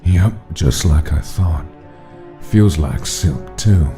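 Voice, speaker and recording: Guy doing a low rough skeleton gangster, creepy flirty taunting, dark tone, music under it. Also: animated, slow pace, full character voice. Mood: mocking, flirtatious. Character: menacing male skeleton mobster, mockingly flirtatious. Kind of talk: dramatic comic dub acting.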